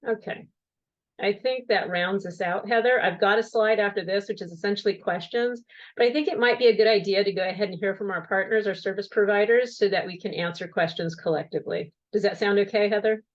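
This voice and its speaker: A woman walking an audience through building plans and timelines in a slide presentation on a video call, in a measured, explanatory way with occasional fillers. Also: mid-range voice. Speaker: county project presenter. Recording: dull lo-fi call audio.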